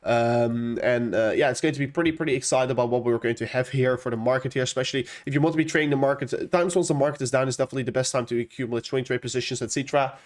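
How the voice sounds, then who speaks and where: Young Dutch-accented man hosting a live stream, rambling and chatty, with a middle-pitched voice. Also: rapid-fire, hardly any pauses. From Netherlands. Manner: rambling, many fillers and restarts. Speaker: crypto livestream host. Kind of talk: casual livestream chat reading viewer questions.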